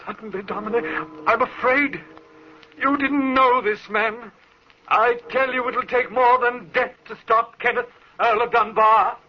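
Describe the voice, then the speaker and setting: An actor playing a devoted, anxious servant in an old-time radio drama, earnest and emotional. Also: male voice, very high voice, fairly even intonation, a little slow. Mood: fearful, awed. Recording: muffled, hissy old radio recording.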